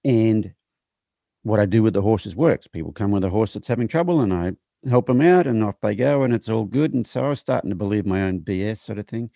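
A middle-aged man, a horse trainer, talking in a casual interview with halting restarts. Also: fairly low pitch, vocal fry, dark tone, dry recording.

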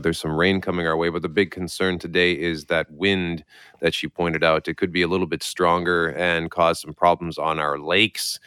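A radio host, talking softly from his apartment while getting over a mild cold. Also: clear, resonant tone, dry recording, studio quality. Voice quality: deep. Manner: quiet, low-key, speaking softly. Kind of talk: morning radio talk. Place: his apartment, working from home.

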